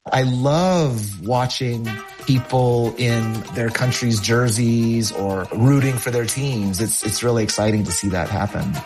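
A man's voice: mid-range voice, unhurried, few pauses, music in the background. A middle-aged American man, a poet, talking reflectively as a guest in an interview. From United States, California.

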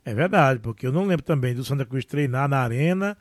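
A man co-hosting a sports talk show, joking and animated in casual banter. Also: mid-range voice, fast, no echo, studio quality. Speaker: sports radio co-host.

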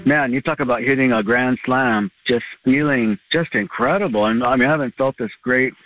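A man in his fifties gushing excitedly in a customer testimonial. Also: medium pitch, moderate pace, dry recording, faint music behind. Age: 50s. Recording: tinny phone line.

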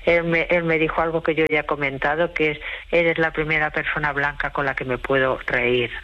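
A tired woman interviewed by phone, giving a personal account in a halting, reflective way. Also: deep voice, steady tone. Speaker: interview guest, host to migrants. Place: remote phone call. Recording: muffled, band-limited phone call.

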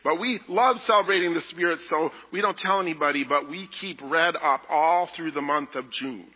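A man leading a children's message in a church service, talking to the children in a gentle, playful way. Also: fairly high pitch, moderate pace, breathy edge. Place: large church sanctuary. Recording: echoey hall, dull lo-fi sound.

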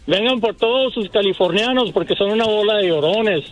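A man's voice: high voice, brisk, soft background music, poor audio. A man from Chihuahua, calling into a radio show and giving blunt, dismissive short answers. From Chihuahua, Mexico.

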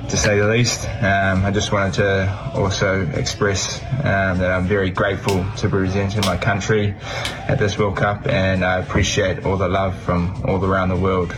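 A man's voice: deep voice, monotone, a little slow, noisy background. A native English-speaking man giving a casual, grateful thank-you in a played-back video message.

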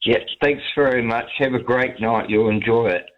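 A man's voice: medium pitch, steady tone, moderate pace. An older man, a pensioner, calling in to a radio show and rambling in a friendly way, often repeating words. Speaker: caller. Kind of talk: casual phone call to radio show. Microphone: telephone. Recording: dull phone line.

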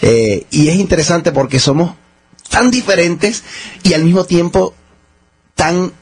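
A man's voice: a little high, measured pace, husky. A man from the United States, a band leader giving eager, rambling answers in a radio interview, often restarting his sentences.

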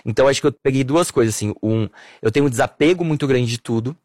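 A Brazilian man in his thirties, an interview guest, telling childhood memories in a warm, nostalgic way with many fillers. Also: mid-range voice, quick pace, dry recording, clean studio sound. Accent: Brazilian Portuguese. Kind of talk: relaxed personal conversation.

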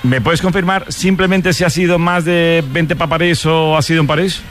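A man's voice: fairly high pitch, fairly even intonation, moderate pace, fairly bright tone, soft background music. A man hosting a late-night sports radio talk show, teasing a caller in a playful, joking way. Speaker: radio host.

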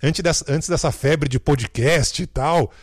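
A man's voice: a little high, unhurried, breathy edge, no echo, studio quality. Man remembering a colleague fondly. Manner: reflective, fond, with hesitant fillers. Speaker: radio commentator. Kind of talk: casual radio tribute.

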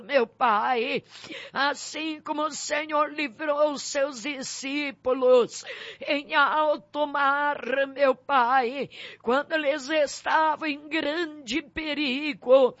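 A woman's voice: high-pitched, a bit bright, no room sound. A woman leading a fervent spoken prayer, pleading with repeated invocations, her voice often breaking into sobs. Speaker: prayer leader. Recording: dull dry lo-fi recording.

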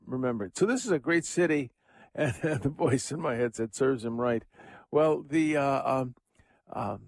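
Older man, radio host, casual rambling Bible talk, mid pitch, dry close recording. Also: a little mellow, no room sound. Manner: rambling, chuckling asides, many fillers. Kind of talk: casual scripture commentary.

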